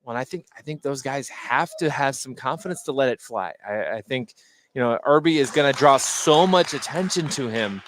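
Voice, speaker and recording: A man giving conversational live color commentary on a basketball game in a halting, hesitant way that repeats words. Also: mid-range voice, lively intonation, fairly bright tone, a little hiss or hum. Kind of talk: conversational live sports analysis. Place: indoor gymnasium during a game.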